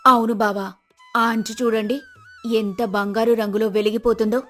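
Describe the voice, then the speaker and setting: A woman voicing a shrewd, calm older village mother-in-law who speaks coaxingly in a moral story. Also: a little high, normal speed, soft background music, close to an everyday voice. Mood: coaxing admiration.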